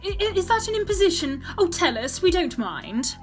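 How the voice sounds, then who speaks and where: A woman's voice: very high-pitched, big pitch swings, moderate pace, soft background music. An actress playing a gushing, nervy, theatrical lady novelist in an audio drama, fluttery and melodramatic.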